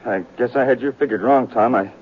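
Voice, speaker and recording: A proud young cowboy with a brash, quick temper, voiced by a man. The sound is a narrow, phone-like old radio band with a faint hiss. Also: mid-range voice, close to an everyday voice. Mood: puzzled. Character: hot-headed, proud young cowboy rival. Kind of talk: 1950s radio western acting.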